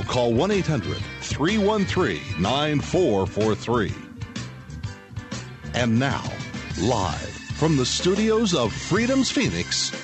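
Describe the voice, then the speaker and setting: A man announcing the opening of a radio show. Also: fairly high pitch. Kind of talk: announcer intro over music.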